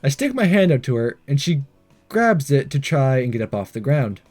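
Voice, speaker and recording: A man's voice: mid-range voice, big pitch swings, moderate pace, a little mellow. A man reading a visual novel aloud as a let's play host, stumbling over lines and re-reading them, with commentary. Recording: music behind the voice. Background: game music.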